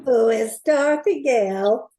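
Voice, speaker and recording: A woman's voice: a little high, unhurried, dark tone. A woman reading a scripted character introduction for a radio play.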